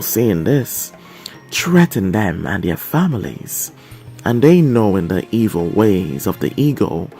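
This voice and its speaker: Male narrator for a dramatic fable, lowish mellow voice, measured storytelling, faint music behind. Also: vocal fry. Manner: measured, expressive, deliberate phrasing.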